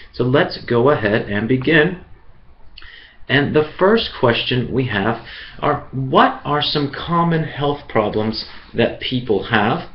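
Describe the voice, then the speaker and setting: Man from the USA, online English teacher, measured and clear, slightly dull sound. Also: mid-range voice, slight background noise. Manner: slow, clear, encouraging teacher talk.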